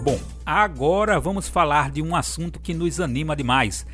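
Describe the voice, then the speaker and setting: A man's voice: on the high side, moderate pace, fairly bright tone, faint music behind. A man reading an upbeat, chatty scripted promo for the program. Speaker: program announcer.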